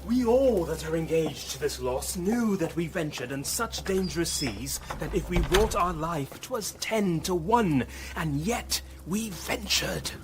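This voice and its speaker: An actor playing a courtly, loyal rebel lord in a Shakespearean radio drama, with formal verse delivery. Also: male voice, on the high side, animated, measured pace, noisy background. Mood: rallying.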